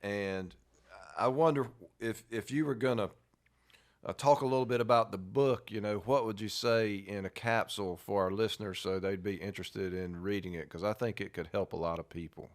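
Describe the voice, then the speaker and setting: A man's voice: fairly low pitch, fairly even intonation, normal speed. A man hosting a podcast in a conversational style. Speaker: podcast host.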